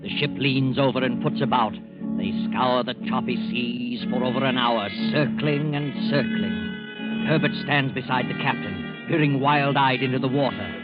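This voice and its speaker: A man's medium-pitched voice acting as narrator tells a 1940s radio thriller with grave, ominous drama. Prominent music plays behind him. The old recording is dull and muffled. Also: moderate pace, close to an everyday voice. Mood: grave, suspenseful. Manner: dramatic, ominous present-tense storytelling. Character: grave male narrator of a suspense tale.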